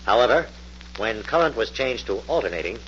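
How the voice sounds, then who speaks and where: Low-voiced, authoritative American scientist-sleuth. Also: male voice, big pitch swings, brisk, fairly bright tone. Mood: businesslike. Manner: precise, authoritative dictation. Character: confident, methodical male scientist-sleuth, brisk and commanding. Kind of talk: 1940s radio acting. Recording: muffled, noisy old-time radio recording.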